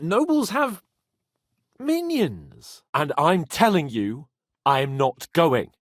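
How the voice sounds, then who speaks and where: Haughty young nobleman, British accent, husky, very animated, indignant, foul-mouthed, game dialogue. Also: medium pitch, no room sound, clean studio sound. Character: haughty, hot-tempered young nobleman, male, cocky and petulant. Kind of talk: dramatic video game voice acting.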